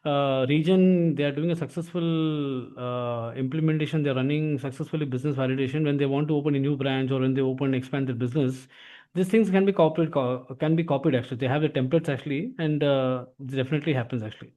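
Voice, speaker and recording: A man's voice: medium pitch, steady tone, fast, warm tone. A man with an Indian accent giving an informal explanatory lesson as an instructor, often tagging sentences with filler words. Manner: repeats filler tags like "actually".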